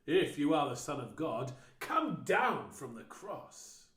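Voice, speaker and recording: A man's voice: on the high side, big pitch swings, slow pace, fairly bright tone, roomy sound, full character voice. A man voicing scornful jeering men. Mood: mocking. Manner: mocking, taunting. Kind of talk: dramatised scripture reading.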